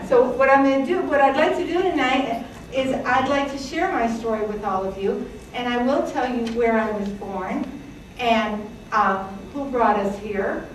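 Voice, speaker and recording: A woman's voice: a little high, a little hiss or hum. A woman in her sixties, from Greece, telling her family's immigrant story to an audience, chatty, with fillers and little laughs. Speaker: presenter. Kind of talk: personal history talk to an audience. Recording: echoey hall, from a distance.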